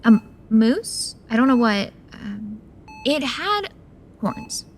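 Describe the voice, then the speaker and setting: A woman playing a wry, stubborn young heroine in a horror audio drama, with a halting, dry delivery. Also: a little high, big pitch swings, a little hiss or hum. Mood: dry, flustered.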